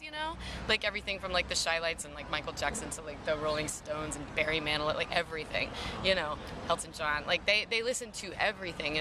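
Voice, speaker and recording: American woman, husky low speaking voice, casual candid interview chat, halting, clear outdoor city noise behind. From Mississippi, United States. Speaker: singer-songwriter being interviewed. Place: outdoor playground in a city park. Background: park and urban outdoor ambience.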